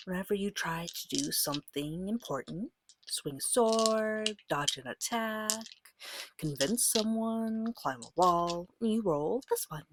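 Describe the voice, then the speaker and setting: A woman speaking softly and breathily, often near a whisper, gently and patiently guiding a beginner as game master in an ASMR roleplay. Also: mid-range voice, expressive, noisy background. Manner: gentle, patient, reassuring, unhurried. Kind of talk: soft-spoken ASMR roleplay tutorial.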